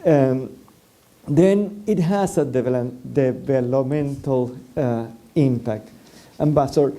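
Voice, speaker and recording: A man's voice: medium pitch, slow pace, dark tone. A man from Venezuela speaking on a public forum panel, hesitant, with many fillers and restarts; Spanish is his first language.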